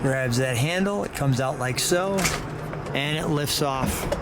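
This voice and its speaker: A man's voice: mid-range voice, measured pace. A middle-aged or older man, chatting casually and enthusiastically as he hosts a car walkaround. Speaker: host of a car show. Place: outdoors around and inside a car. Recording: loud car and outdoor noise. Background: engine, insects, vehicle noise.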